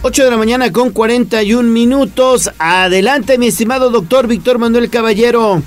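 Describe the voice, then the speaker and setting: A man's voice: very high voice, normal speed, soft background music. A man hosting a morning radio news show, announcing the time and introducing guests in a friendly way.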